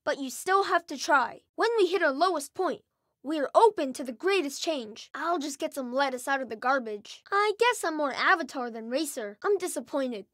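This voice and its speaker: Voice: high voice, expressive, moderate pace, fairly bright tone, no echo, clean studio sound. An actor playing a cheerful, earnest young boy airbender hero, exuberant and playful, in video game voice clips. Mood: gentle, reflective.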